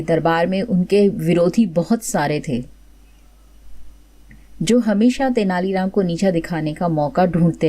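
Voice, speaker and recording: A woman's voice: mid-range voice, warm tone. A woman host narrating a folk tale in a conversational storyteller's style, explaining and rephrasing as she goes.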